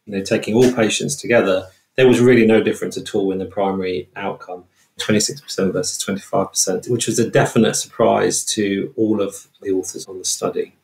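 British man, expert guest, lower register, measured explanations, roomy recording. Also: creaky, darkish tone. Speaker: trauma researcher, interview guest. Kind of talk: explanatory interview answers. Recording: roomy, echoey sound.

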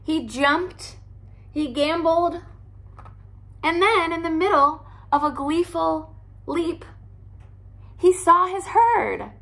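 A young woman, a teacher, reading a children's picture book aloud in an animated, expressive way at home. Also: very high voice, takes it slowly, pauses often. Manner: animated, expressive storytelling with character voices. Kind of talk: storybook read-aloud. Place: living room by a fireplace, indoors.

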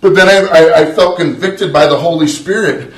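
A man's voice: fairly high pitch, moderate pace. A middle-aged man preaching a sermon as pastor of a small church, earnest and conversational, often restarting words. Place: small church sanctuary, indoors. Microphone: far camera, no visible mic. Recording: roomy echo from far off.

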